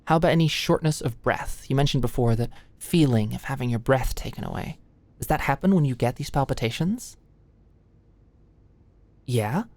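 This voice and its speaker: A low-pitched young female voice, close and very dry, a woman acting as a nervous, awkward medical student in an ASMR roleplay. She is attentive but hesitant and easily flustered, tripping over her words. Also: animated, moderate pace, darkish tone, no echo, full character voice. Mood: careful, nervous. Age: young adult. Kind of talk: ASMR roleplay, intimate scripted one-sided dialogue. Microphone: close studio-style mic, dry.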